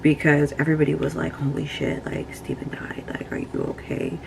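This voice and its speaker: A woman's voice: low voice, steady tone, a little fast, vocal fry, in a whisper. A woman in her twenties talking casually and candidly while doing her makeup, swearing freely and using lots of fillers. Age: 20s. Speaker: beauty vlogger. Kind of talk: casual get-ready-with-me chat.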